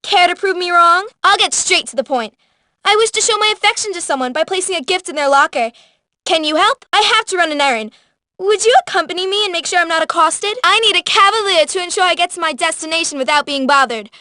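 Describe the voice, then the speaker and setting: A very high, bright voice recorded dry and close: a prim, self-important teenage history nerd from a video game. She is haughty and precise, pronouncing every lofty word like a little scholar. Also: expressive, quick pace, no room sound, full character voice. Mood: prim, composed. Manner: pompous, precise, bookish diction. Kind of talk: scripted video game character lines.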